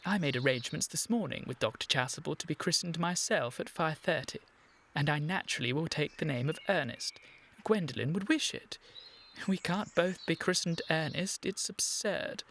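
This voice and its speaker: Radio comedy: earnest, exasperated English gent, raised pitch, reproachful and proper. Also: male voice, expressive, normal speed, a bit bright. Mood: exasperated insistence. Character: indignant, earnest, exasperated young English gentleman. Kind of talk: comic period radio play acting. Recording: clear background ambient noise.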